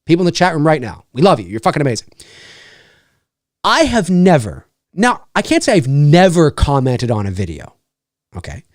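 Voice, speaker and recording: A man hosting a casual podcast, talking in a loose, rambling way and dropping curse words freely. His pitch swings widely as he slips into quick mock voices of commenters. The sound is close and dry, with almost no room around it. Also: dry recording. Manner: lively, profane, rambling with mock-quoted voices. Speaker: podcast host. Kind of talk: casual chat.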